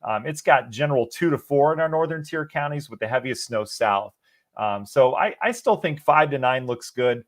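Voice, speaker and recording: A man's voice: mid-range voice, expressive, normal speed, no room sound, studio quality. Easygoing weatherman losing his voice. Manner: easygoing, chatty, answers viewers by name. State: losing his voice, a bit sniffly. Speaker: meteorologist. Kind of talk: live-stream viewer Q&A on the weather.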